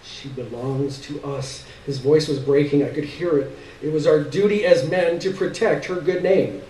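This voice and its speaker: A man reads literary fiction aloud with dramatic delivery. His voice sits a little high. It is captured from some distance in an echoey room. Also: moderate pace, mellow. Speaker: story reader. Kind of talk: dramatic literary reading on stage. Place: live stage reading in a room. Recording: echoey room, distant mic, faint noise.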